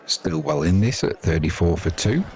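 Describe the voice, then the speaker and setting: A man's voice: low voice, expressive, normal speed, darkish tone, slight background noise. A man with an English accent giving casual cricket commentary in a chatty, dry-humoured, blunt and slangy way. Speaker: live sports commentator and host.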